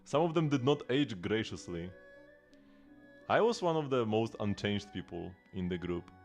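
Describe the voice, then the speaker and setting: Late-twenties male streamer, deepish voice with a lively, bouncy delivery; rambles and restarts mid-sentence. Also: moderate pace, faint music behind. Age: late 20s. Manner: rambling and chatty, with lots of restarts and laughs. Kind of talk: casual gaming livestream chat.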